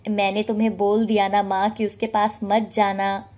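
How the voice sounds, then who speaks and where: A woman's voice: mid-range voice, flat delivery, moderate pace, a little mellow, close to an everyday voice. A woman voicing a henpecked adult son in a story, curt and dismissive toward his mother.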